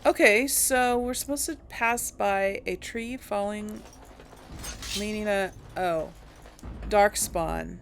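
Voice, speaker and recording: A woman's voice: medium pitch, takes it slowly, gravelly, soft background music. A woman hosting a casual gaming channel, thinking aloud and laughing as she plays. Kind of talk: casual gameplay commentary.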